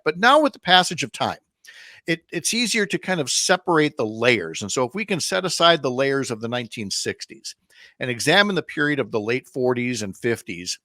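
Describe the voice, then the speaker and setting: A man's voice: mid-range voice, sharp tone, no echo, studio quality. A middle-aged man from the American Midwest with an American accent, hosting a conversational radio interview and often reworking his sentences as he goes. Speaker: radio show host, interviewer.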